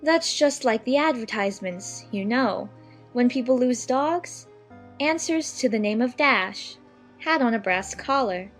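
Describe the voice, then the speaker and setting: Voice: mid-range voice, lively intonation, measured pace, faint music behind, pitched above the performer's own voice, natural voice. A narrator voicing a curious, chatty little girl who muses to herself in a children's audiobook. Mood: musing, chatty. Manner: musing aloud to herself.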